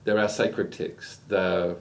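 Deep-voiced New man, measured. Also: little pitch movement, vocal fry. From New Zealand. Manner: measured, explaining step by step with restarts. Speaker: guest. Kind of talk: reflective interview answer.

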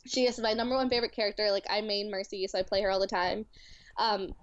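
A woman's voice: mid-range voice, little pitch movement, fast talker, no room sound, highs cut off. A woman in her twenties from Louisiana, a cosplayer chatting casually as a guest and filling her talk with "like". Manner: chatty, heavy with "like" fillers. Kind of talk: casual chat.

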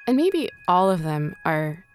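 A woman's voice: lowish voice, big pitch swings, unhurried, a little mellow, soft background music. A woman hosting and narrating a scripted audio documentary. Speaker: podcast host and narrator.

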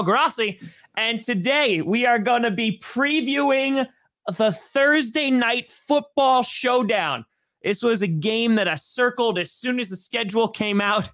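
A young man hosting a casual sports podcast, talking loosely and excitedly with lots of fillers. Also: sits high. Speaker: podcast host.

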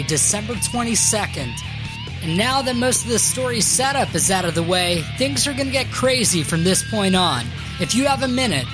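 A woman's voice: mid-range voice, rough recording. A woman hosting a podcast, reading a scripted sign-off to listeners. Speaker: podcast host. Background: guitar music.

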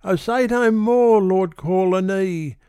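A man's voice: high voice, slow, mellow, smooth, dry recording, studio quality, voice pushed up. A man voicing a proud young democratic bush girl in a recited ballad, pleading and melodramatic.

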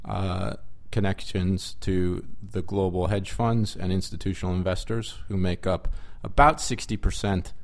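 A man, a guest in an interview, explaining his background in a measured, conversational way. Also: sits low, steady tone, creaky. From United States. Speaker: interview guest, equities executive.

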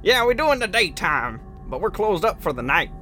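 An actor playing a grumpy, suspicious country stand owner in a radio drama, curt and dismissive. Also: male voice, sits high, sing-song, normal speed, bright, forceful tone, soft background music, full character voice. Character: grumpy, suspicious rural roadside-stand owner, married man.

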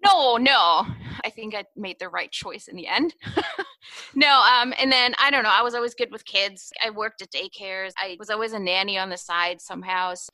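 A woman's voice: mid-range voice, normal speed, sharp tone, no room sound, low-quality recording. A woman in her thirties who grew up in Germany, telling her story in a rambling, chatty way with many fillers and laughs. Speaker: guest. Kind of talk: casual conversational interview.

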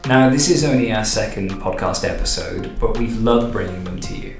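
A man's voice: lowish voice, expressive, moderate pace, vocal fry. A man hosting a podcast, chatting and interviewing in a conversational style. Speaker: podcast host and director. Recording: roomy echo, faint music.

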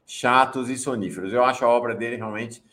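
A man's voice: medium pitch, fairly quick, a bit bright. A middle-aged or older man, hosting and giving blunt, emphatic live political commentary. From São Paulo, Brazil. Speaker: news show host and commentator.

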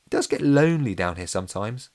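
Young boy ghost for a storybook, kindly, apologetic, lively pitch, played by a man. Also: fast talker, no echo, close to an everyday voice. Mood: apologetic, friendly. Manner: apologetic, gently reproachful. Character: friendly, embarrassed eleven-year-old boy ghost.

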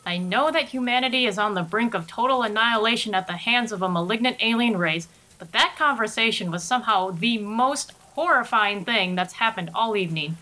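A woman's voice: fairly high pitch, a little fast, hardly any pauses, sharp tone. A woman playing a flustered, blunt female news announcer in a 1930s radio spoof, emphatic and indignant, on a noisy recording. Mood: flustered, formal. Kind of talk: 1930s radio broadcast parody acting.

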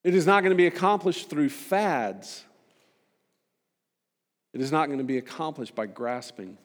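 A man delivering a sermon to a congregation as a preacher, emphatic and rhetorical, building points through repetition and pointed pauses. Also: on the high side. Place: large reverberant hall. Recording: long hall reverb.

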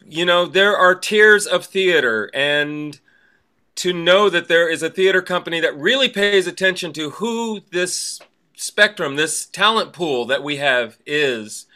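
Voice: medium pitch, pushed and bright. A podcast co-host speaking in an effusive, emphatic way in a conversational interview.

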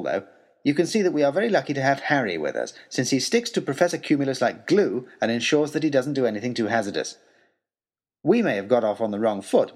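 An earnest, wordy tutor turned adventurer in an audio drama. His voice is husky and swings widely in pitch as he tells his tale with formal eloquence and wry self-mockery. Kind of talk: dramatic reading of a letter, storytelling.

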